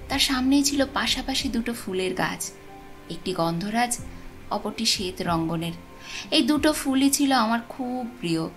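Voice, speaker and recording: A woman reads a literary story in a measured, expressive storytelling manner. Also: fairly high pitch. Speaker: audio story narrator. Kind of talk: scripted literary story narration.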